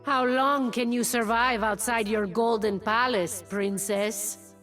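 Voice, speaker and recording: A woman playing a fierce, proud jungle huntress in a video game, with a menacing, deliberate, taunting delivery. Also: a little high, fairly even intonation, a bit bright, far from the mic, soft background music. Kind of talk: video game character voice lines.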